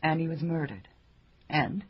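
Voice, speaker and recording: A woman playing a wry newspaper columnist in a radio drama, husky, gently probing and concerned for her friend. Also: low-pitched, unhurried, muffled recording. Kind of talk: naturalistic radio drama acting.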